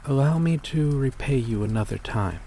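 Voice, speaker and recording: A man's voice: medium pitch, lively intonation, normal speed, creaky, warm tone. Man playing a courteous vampire, noisy ambience. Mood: courteous, grateful. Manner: formal, polite, hesitant, apologetic. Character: courteous, gentle male vampire stranger, kindly and old-fashioned. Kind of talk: intimate ASMR roleplay acting.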